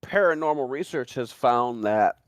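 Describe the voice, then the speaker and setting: A man speculating in a casual discussion, rambling and halting as he repeats and restarts phrases. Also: mid-range voice, expressive, clean tone, no room sound. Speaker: talk show panelist.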